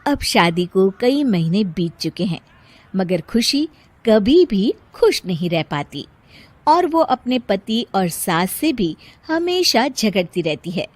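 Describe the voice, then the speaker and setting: A woman narrating a scripted cartoon story as an expressive storyteller. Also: medium pitch, slow pace, a little hiss or hum.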